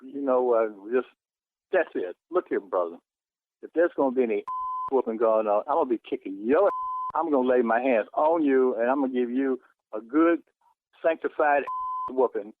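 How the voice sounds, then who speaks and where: A man's voice: medium pitch, dry recording. A man arguing heatedly on the phone, indignant and emphatic, repeating his phrases. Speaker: church member receiving a prank call. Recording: tinny telephone line.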